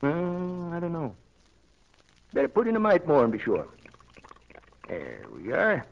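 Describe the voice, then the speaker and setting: Sly, good-natured farm dad, high and animated, rural American talk, 1940s radio drama. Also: male voice, measured pace, heavily acted. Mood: sly cheerful. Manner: folksy rural speech, muttering asides. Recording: muffled 1940s radio broadcast.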